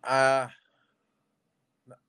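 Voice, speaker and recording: A man's voice: extremely slow, a bit bright. A man with a nasal voice, chatting easily as a podcast guest. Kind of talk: casual chat.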